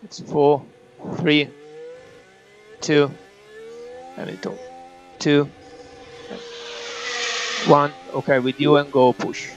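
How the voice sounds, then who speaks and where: A man's voice: fairly high pitch, little pitch movement, very slow, pauses often, soft background music. A man working as a race engineer, giving clipped instructions and countdowns to a driver over team radio. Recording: dull noisy motorsport radio link.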